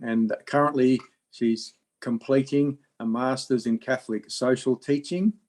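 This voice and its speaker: A man introducing a guest speaker at a meeting, talking fluently and in a measured way. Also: mid-range voice, darkish tone. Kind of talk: formal introduction.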